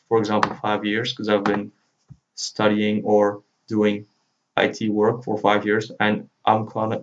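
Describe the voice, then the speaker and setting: A man whose native language is Dutch, giving an informal screen-recorded software tutorial as he walks through each step. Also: lowish voice. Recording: dull lo-fi mic, faint noise. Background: computer keyboard typing and clicking.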